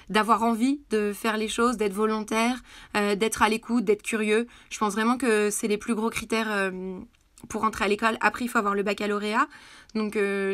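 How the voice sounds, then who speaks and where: A woman's voice: medium pitch, a bit bright, no room sound. A woman in her twenties giving informal advice to students in a live Q&A, hesitant and thinking aloud. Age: 20s. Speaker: start-up founder and business school alumna answering live questions.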